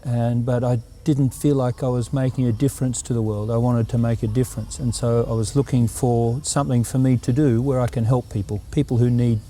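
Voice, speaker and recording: Guest spot: middle-aged Australian man, dark and even, reflective. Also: mid-range voice, slight background noise. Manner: earnest, reflective, unhurried. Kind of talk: interview answers.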